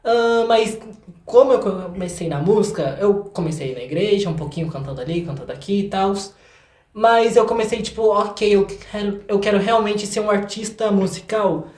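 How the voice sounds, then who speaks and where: A man's voice: sits high, normal speed, darkish tone. An eighteen-year-old Brazilian man chatting casually as an interview guest, telling stories with slangy asides and acted-out quotes. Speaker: guest, singer-songwriter. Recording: echoey room.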